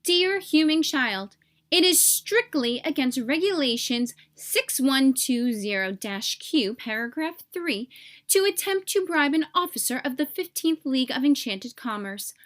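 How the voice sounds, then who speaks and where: Woman as storybook tooth fairy: high-pitched, pompous, prim official, stern and formal. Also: expressive, measured pace, a bit bright. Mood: officious, stern. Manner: formal, pompous recitation of regulations.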